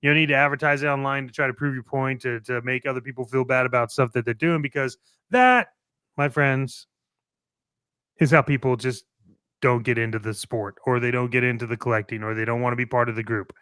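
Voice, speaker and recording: A man's voice: medium pitch, steady tone, brisk, pushed and bright, clear, resonant tone, dry recording, clean studio sound. A man co-hosting a show, giving casual opinions in a blunt, emphatic way with some swearing. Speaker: co-host of a toy collecting show.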